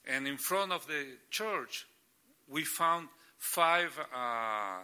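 A middle-aged man whose first language is Spanish talks through his church work in halting, searching English. His voice is very bright and forceful. He stops often to find the next word. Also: fairly high pitch, expressive, clean tone. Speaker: church planting catalyst. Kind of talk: guest sharing ministry stories.

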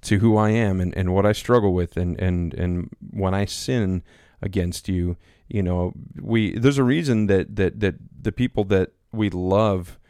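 Man, very low pitch, loud carrying voice, rambling with fillers, dry close sound. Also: measured pace, few pauses, smooth, no echo. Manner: rambling, many fillers and restarts. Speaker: pastor and podcast co-host. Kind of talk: casual conversation. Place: small home studio.

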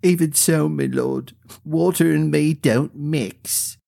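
A man's voice: a little high, fairly even intonation, slow, gravelly, mellow, pitched above the performer's own voice, exaggerated voice. A man voicing a timid young working-class woman who talks sheepishly. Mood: nervous.

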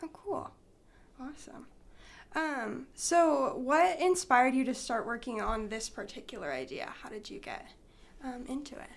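Woman interviewer, upbeat and curious, high voice with vocal fry. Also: expressive, measured pace. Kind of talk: casual interview questions.

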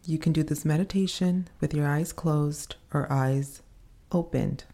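Female meditation host: low-pitched, relaxed tone, soothing delivery in short, spaced phrases. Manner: soothing, unhurried, pausing between short phrases. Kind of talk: slow guided meditation.

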